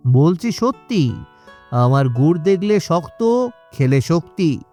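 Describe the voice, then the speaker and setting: A man's voice: on the high side, sing-song, slow, mellow, faint music behind. A man voicing a sly, boastful swindling salesman in a children's cartoon, brash and wheedling. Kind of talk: cartoon story voice acting.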